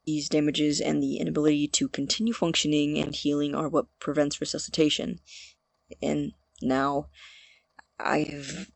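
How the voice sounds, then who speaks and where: An actor playing an evasive, pedantic young student experimenter, explaining in halting, formal phrases in a naturalistic audio drama. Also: medium pitch, vocal fry, a bit bright, no room sound.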